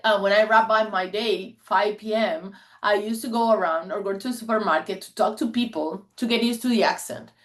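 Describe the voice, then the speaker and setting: A woman in her forties, a native Spanish speaker, gives a presentation to an online meeting, telling stories in an earnest, chatty way with frequent laughs. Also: on the high side. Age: 40s. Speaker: guest speaker.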